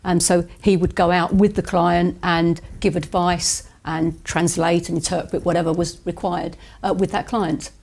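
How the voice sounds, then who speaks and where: A woman who runs a property agency, answering interview questions in a measured, businesslike way with some hesitations. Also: lowish voice, little pitch movement, vocal fry, a little mellow.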